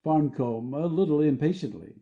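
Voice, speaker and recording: Man, scripted narration, very soft dark timbre, slightly high pitch, stage directions, muffled lo-fi sound. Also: expressive, moderate pace, vocal fry.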